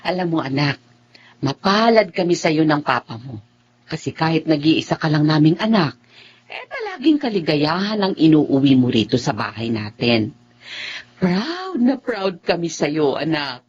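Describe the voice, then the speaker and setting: A woman's voice: deep voice, big pitch swings, a little slow, darkish tone. A woman with a Filipino accent playing a proud, doting mother and wife in a radio drama. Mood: proud, teasing. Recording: muffled audio with faint noise.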